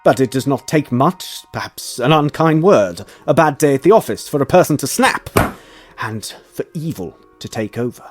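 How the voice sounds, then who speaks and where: An actor playing a driven, earnest Victorian scientist in a period drama, speaking formally and with rhetorical intensity. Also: male voice, medium pitch, animated, normal speed, faint music behind, natural voice. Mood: impassioned lecturing. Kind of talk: theatrical period audio drama acting.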